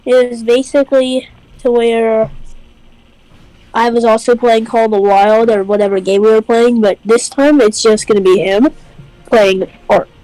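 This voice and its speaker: A woman's voice: fairly high pitch, fairly even intonation, a little slow, warm tone, no echo, poor audio. A congested woman hosting a casual chat, rambling and hesitant, with lots of fillers. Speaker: host.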